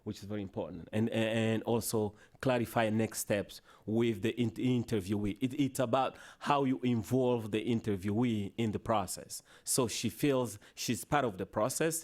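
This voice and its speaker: A man whose first language is Swahili gives a conference presentation with slides, in a halting way full of restarts and "you know" fillers. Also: lowish voice, darkish tone. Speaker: conference panelist. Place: conference hall.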